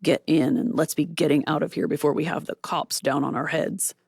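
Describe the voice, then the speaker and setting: Drunken male robber leader, harsh and bossy, deep rough growl; a woman doing the voice. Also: steady tone, a little fast, darkish tone, no echo, clean studio sound, heavily acted. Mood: gruff.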